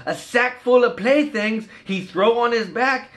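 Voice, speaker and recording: Excitable high-pitched Cajun storyteller. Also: male voice, measured pace. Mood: animated, exclaiming. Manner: exuberant, declamatory, singsong rhyming delivery. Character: excitable folksy Cajun man telling a Christmas tale. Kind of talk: rhymed storybook dialect read-aloud.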